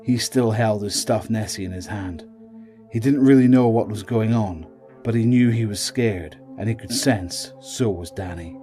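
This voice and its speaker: A man's voice: on the low side, moderate pace, mellow, faint music behind. A man reading scripted narration for a horror audio drama.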